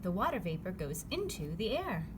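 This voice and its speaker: A woman reading a children's picture book aloud, phrasing it clearly and simply for young listeners. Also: fairly low pitch, big pitch swings, moderate pace. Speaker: read-aloud narrator. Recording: clearly noisy background ambience.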